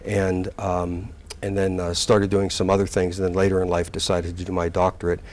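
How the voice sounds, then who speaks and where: A man in his sixties, a college president, recalls his career in a relaxed interview and talks haltingly, with many fillers and restarts. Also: low voice. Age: 60s. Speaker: community college president, guest.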